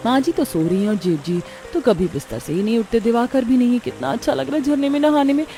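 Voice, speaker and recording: A woman's voice: fairly high pitch, fast, dark tone, close to an everyday voice. A woman voicing a lazy, greedy young daughter-in-law, self-serving and complaining, in a family story. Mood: secretly pleased.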